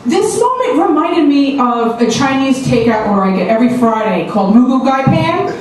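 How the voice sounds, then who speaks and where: A stand-up comedienne delivers crude punchlines in a brash, punchy way, her voice sitting a touch high. She is heard from a distance on a stage mic. The small club sounds very echoey, with a clear hum of room noise behind her. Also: animated, normal speed.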